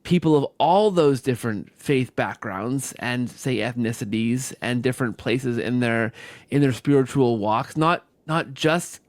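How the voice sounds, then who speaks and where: A man's voice: medium pitch, unhurried, no echo. A man hosts a podcast in a very dry, close recording. He reacts with interest in a conversational way, repeating and restarting phrases as he reflects. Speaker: podcast host.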